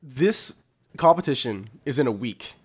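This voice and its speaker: A man's voice: medium pitch, animated, a little slow, a little mellow, breathy edge. A man co-hosting a podcast, explaining his opinions informally in a casual discussion. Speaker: podcast co-host.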